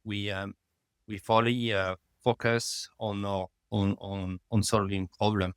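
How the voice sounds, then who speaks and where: Man, smooth lowish voice. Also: level tone, no echo, studio quality. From France. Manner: halting, fills with "you know", rises in emphasis. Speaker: guest, lab founder. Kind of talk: remote conversational interview.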